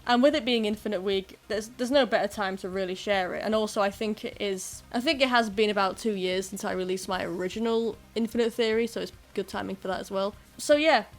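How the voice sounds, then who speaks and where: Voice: medium pitch, a little fast, hardly any pauses. A YouTuber presenting fan theories in a casual, self-deprecating commentary addressed to viewers. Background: music.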